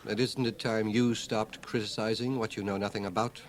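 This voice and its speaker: Older scholar, male actor, radio drama lead and narrator: lowish voice, measured, dry, learned, old cassette sound. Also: steady tone, close to an everyday voice. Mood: dry, cutting. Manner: measured, precise, sardonic. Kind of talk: radio drama acting and first-person narration. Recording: 1980 radio drama, home cassette transfer.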